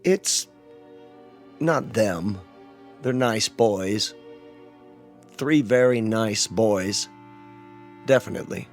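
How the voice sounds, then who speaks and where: A man's voice: fairly low pitch, extremely slow, long pauses. An actor playing a stubborn, protective, middle-aged suburban father in a radio drama. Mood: dazed. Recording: music underneath the voice.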